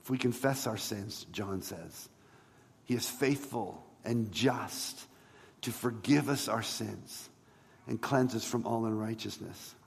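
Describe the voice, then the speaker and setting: A man preaching a sermon as a pastor, in a conversational way with rhetorical questions and emphatic pauses. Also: lowish voice, creaky, slightly rough. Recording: long hall reverb.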